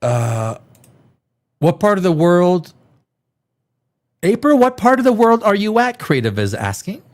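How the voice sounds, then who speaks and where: A man hosting a casual live stream, his voice a little stuffed up from a cold. He rambles on in friendly chat with the viewers, repeating and restarting his sentences. His pitch rises and falls in a lively way. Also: unhurried, long pauses. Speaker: livestream host.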